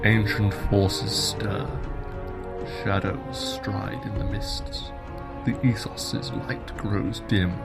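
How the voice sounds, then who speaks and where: Man as a slow, ominous narrator. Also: on the low side, level tone, gravelly, loud music behind the voice, exaggerated voice. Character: solemn, ominous title narrator. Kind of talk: dramatic scripted narration.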